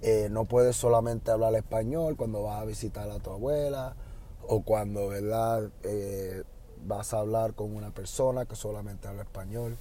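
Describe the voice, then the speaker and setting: A man with a Puerto Rican Spanish accent, a high school Spanish teacher giving casual advice on his podcast, often tagging on "¿verdad?" and pausing with "eh". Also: a little hiss or hum. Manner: relaxed, fills with "¿verdad?" and "eh".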